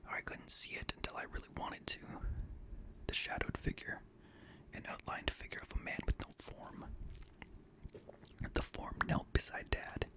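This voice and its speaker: A young man reading scary stories in a hushed, breathy ASMR whisper, gently, close to a studio microphone. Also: normal speed, long pauses, rough recording. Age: young adult. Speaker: host and reader of scary stories. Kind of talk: whispered ASMR horror story narration. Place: small indoor home studio room. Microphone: close boom-arm studio microphone.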